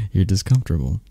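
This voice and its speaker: Mafia boss lover, played by a male actor: low and gravelly, possessive yet tender, hushed ASMR delivery. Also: no echo, heavily acted. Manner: slow, hushed, halting, soothing murmur. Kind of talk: intimate ASMR roleplay.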